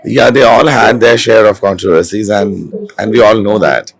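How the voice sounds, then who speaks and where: A low, gravelly male voice, hoarse from having lost his voice. He talks haltingly, restarting phrases and filling pauses with "uh". He speaks into a handheld mic as a guest at a literature festival. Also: dry recording. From India. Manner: hesitant, many restarts and fillers. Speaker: author and film director. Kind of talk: festival interview.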